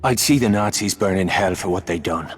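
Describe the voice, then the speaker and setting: Deep, gruff Irishman, tough and defiant. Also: fairly quick. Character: tough, bitter, vengeful Irish mechanic, foul-mouthed and sardonic. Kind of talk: dramatic video game acting. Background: music.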